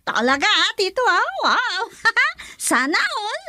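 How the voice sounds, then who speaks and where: A woman's voice: extremely high pitch, wildly swooping pitch, takes it slowly, pushed and bright, dry recording, clean studio sound, exaggerated voice. A woman acting a bubbly, affectionate young daughter in a radio drama, with a Filipino accent. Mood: excited, teasing.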